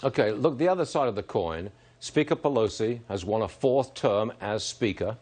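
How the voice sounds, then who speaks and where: News host, man with very lively pitch. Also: moderate pace. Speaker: TV news host interviewing a guest. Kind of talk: live broadcast news interview, pointed questioning.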